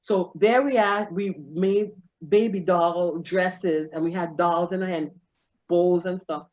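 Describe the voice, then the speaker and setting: A middle-aged woman tells stories from her comedy career in an animated, self-mocking way, laughing often. Her voice sits a little low. The recording sounds dull and lo-fi. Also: takes it slowly. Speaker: stand-up comedian guest. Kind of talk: casual interview storytelling.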